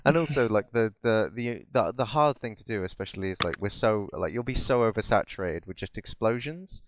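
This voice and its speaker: A man's voice: lowish voice, expressive, a little fast. A man co-hosting a podcast, chatting casually about films in a rambling way. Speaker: podcast co-host. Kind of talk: casual film chat.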